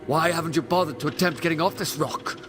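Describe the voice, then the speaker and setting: A man acts as an indignant time-travelling alien hero in an audio play. His voice sits high for a man, and he lectures with passion and sarcasm. Music runs clearly behind him. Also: fast talker, close to an everyday voice. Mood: pleading, impassioned. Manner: impassioned, sardonic, lecturing. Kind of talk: audio drama acting. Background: dramatic music.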